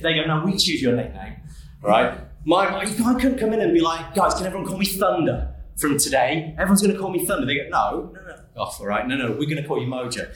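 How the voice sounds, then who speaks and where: Young man, self-deprecating comic storytelling, slightly high voice, very echoey hall, heard from a distance. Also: fairly bright tone. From England, Manchester area. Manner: self-deprecating, joking, quick asides. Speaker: speaker telling his story to an audience. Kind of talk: comedic personal storytelling.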